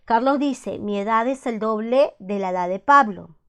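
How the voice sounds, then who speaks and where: A woman's voice: fairly high pitch, moderate pace, a bit bright. A woman math teacher, patiently explaining algebra step by step, repeating each point and asking checking questions. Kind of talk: slow step-by-step classroom explanation.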